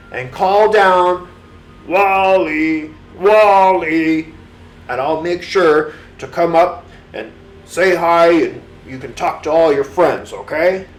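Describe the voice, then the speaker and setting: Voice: mid-range voice, echoey room, pitched above the performer's own voice, close to an everyday voice, poor audio. A storyteller voicing a friendly, helpful swamp alligator in a children's bedtime story, hearty and reassuring.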